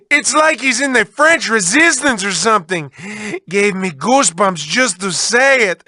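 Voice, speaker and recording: A man's voice: very high voice, sharp tone, no echo, studio quality, heavily acted. A man voicing a manic, lovelorn, whiny mad-scientist villain in a video game, with theatrical, exaggerated delivery that swings between cooing and wailing. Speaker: video game villain reading developer messages. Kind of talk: exaggerated comic cartoon voice acting.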